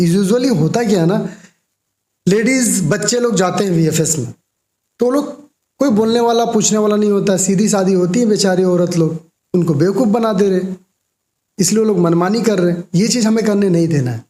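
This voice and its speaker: A young Indian man with a high voice talks to his viewers in an echoey room. He explains things conversationally and emphatically, as a vlogger commenting informally. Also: normal speed, dark tone. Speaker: vlogger commenting on a call recording.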